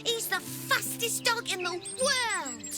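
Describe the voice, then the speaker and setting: A small child in a video game, with a tiny, very high voice. The delivery is drawn-out and plaintive, in simple phrases, under loud music and birdsong. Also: female voice, big pitch swings, heavily acted. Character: small plaintive child fretting over a dog.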